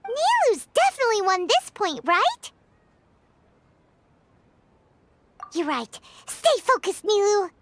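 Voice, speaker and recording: A woman voicing an excitable little fairy-like companion in a game, with a squeaky, shrill voice and cheering manner. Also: moderate pace, full character voice. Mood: eager. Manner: cheering from the sidelines. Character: tiny chirpy female fairy-like companion, excitable. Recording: noisy studio recording.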